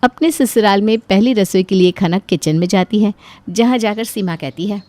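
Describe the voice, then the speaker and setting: A woman's voice: mid-range voice, moderate pace, warm tone, no room sound. A woman giving scripted storytelling narration for a cartoon.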